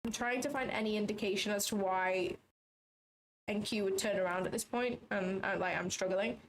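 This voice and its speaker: Woman, trading livestream host, casual and chatty, mid-pitched voice, faint background noise. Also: normal speed. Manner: chatty, swearing, thinks aloud, second-guesses herself. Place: small office.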